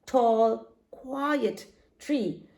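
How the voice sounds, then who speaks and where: A woman's voice: a little high, extremely slow, darkish tone, reverberant. A woman doing a hooting tree owl. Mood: friendly.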